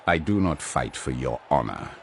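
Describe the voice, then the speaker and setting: An actor playing a grim, cold, proud berserker champion of a Chaos warband in an audio drama, speaking in subdued, menacing tones with a gravelly voice. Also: male voice, animated, slight background noise. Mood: contemptuous. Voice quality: gravelly, very deep. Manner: subdued, slow, menacing delivery.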